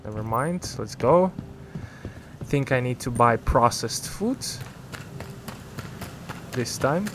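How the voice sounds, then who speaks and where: A man's voice: medium pitch, animated, slow, pauses often, a little hiss or hum. A man whose first language is Ukrainian, rambling through casual gameplay commentary as a gaming YouTuber, often correcting himself.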